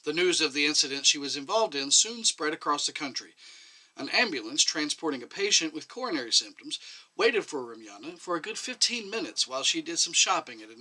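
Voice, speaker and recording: Bright, lively man reading fiction. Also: a little high, low-quality recording. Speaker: reader of a short story. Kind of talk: scripted literary narration with acted dialogue.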